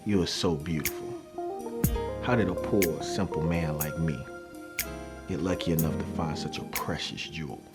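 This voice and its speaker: A man acting as a smooth-talking suitor with a low, deep voice. He is tender and flattering, full of stagey romantic adoration, with music clearly playing behind him. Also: normal speed. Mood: adoring.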